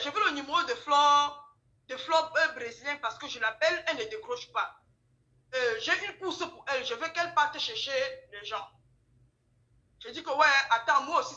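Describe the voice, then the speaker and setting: High, bright Cameroonian French-speaking woman, emphatic. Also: muffled recording. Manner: animated, emphatic, repeats phrases, acts out dialogue. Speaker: guest telling her account. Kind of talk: casual spoken storytelling, gossip. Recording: roomy, echoing sound.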